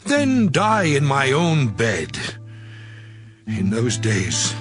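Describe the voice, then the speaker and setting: An actor playing a righteous, once-proud man in a dramatized scripture reading, solemn and expressive. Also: on the high side. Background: background music.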